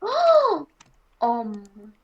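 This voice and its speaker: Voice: very high-pitched, huge pitch swings, very slow. A female co-player, chatting casually during a game in brief reactive interjections, on lo-fi audio. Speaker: co-player in gaming roleplay. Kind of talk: casual chat while gaming. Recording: dull lo-fi voice chat audio.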